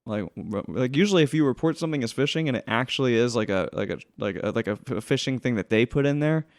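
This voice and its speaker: Clear-voiced man in grumbling casual chat. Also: mid-range voice, lively intonation, fast talker, no echo, studio quality. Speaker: podcast co-host.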